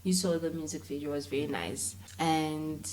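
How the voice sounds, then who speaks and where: A woman's voice: sits low, steady tone, normal speed, darkish tone, rough recording. A woman with a South African accent chatting informally and animatedly as an interview guest, using plenty of slang. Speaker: interview guest, filmmaker and actress. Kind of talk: casual conversational interview.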